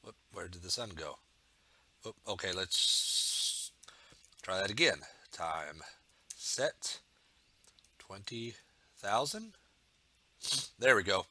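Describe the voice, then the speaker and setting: Sniffly man with a bright voice. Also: deep voice, animated, slow, long pauses. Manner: rambling, thinking aloud with many fillers. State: getting over a sore throat and cold, sniffling. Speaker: builder narrating his build. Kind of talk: casual build commentary.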